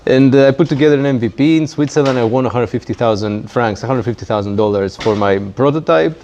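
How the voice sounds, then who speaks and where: A man's voice: medium pitch, fast talker, darkish tone. A man with a Greek accent and a mid-range voice chats as an interview guest. He is casual and wryly self-deprecating. The recording sounds dull, with some faint background noise. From Greece. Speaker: guest, startup founder. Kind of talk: casual fireside chat interview. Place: community tech workspace, live event. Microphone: handheld microphone.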